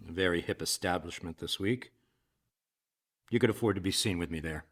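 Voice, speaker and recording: A man's voice: low voice, brisk. Man playing a smug, suave rich businessman. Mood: commanding. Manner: blunt, self-assured, flirtatious. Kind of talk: comedic radio drama acting.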